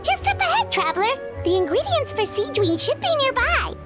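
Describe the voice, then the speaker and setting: Childlike fairy companion, very high squeaky voice, lively intonation, eager, exclaiming, video game acting. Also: female voice, fairly quick, over music. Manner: refers to self in third person, exclaiming.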